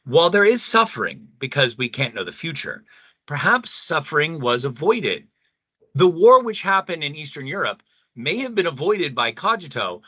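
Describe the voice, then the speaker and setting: A man's voice: on the high side, bright, forceful tone, dry recording, lo-fi sound, heavily acted. A man playing an earnest, mystical pastor who argues in a solemn, preachy way in an audio play. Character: earnest, mystical male pastor and spiritual leader. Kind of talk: radio play debate acting.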